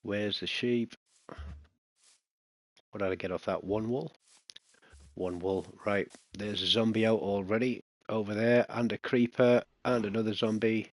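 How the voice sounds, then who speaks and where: A man's voice: on the low side, fairly even intonation, measured pace, long pauses, no room sound. A man chatting casually to himself while playing a survival game, muttering and breaking into alarmed bursts when enemies appear. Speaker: gaming channel host. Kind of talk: casual let's-play commentary.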